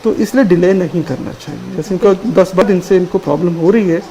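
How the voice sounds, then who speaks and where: A man speaking as a medical expert on a call-in show, explaining precautions in a calm, patient, instructive way. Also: sits high, a little fast, a little hiss or hum, low-quality recording. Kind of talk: explaining health advice.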